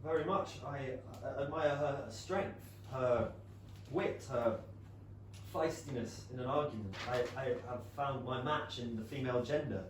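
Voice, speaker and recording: A man acting a proud, wounded period gentleman in a staged reading, speaking formally and eloquently of hidden love. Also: medium pitch, close to an everyday voice. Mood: candid, restrained. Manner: formal, measured, eloquent theatrical delivery. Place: small London theatre stage, filmed. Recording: echoey theatre, distant mic, noisy room tone.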